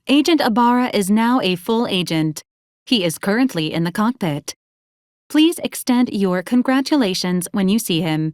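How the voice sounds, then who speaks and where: A woman voicing a polite, literal-minded medical robot in a video game, speaking in formal, clinical, deadpan announcements. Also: mid-range voice, no echo, studio quality, natural voice. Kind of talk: scripted video game character lines.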